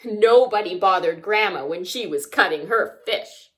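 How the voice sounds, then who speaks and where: Slow, animated woman reading to children. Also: medium pitch, a little hiss or hum. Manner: expressive, asks child listeners simple questions. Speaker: children's story time reader. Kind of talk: read-aloud children's picture book narration.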